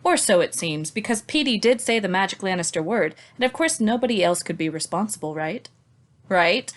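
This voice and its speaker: A woman's voice: medium pitch, animated, brisk. A woman hosting a book discussion in a sardonic, casually sweary way. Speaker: podcast host.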